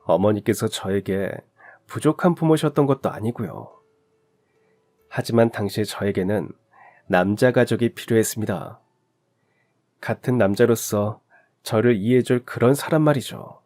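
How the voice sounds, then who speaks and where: A man's voice: lowish voice, animated, normal speed, pauses often. Man narrating a heartfelt story. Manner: gentle, reflective first-person telling.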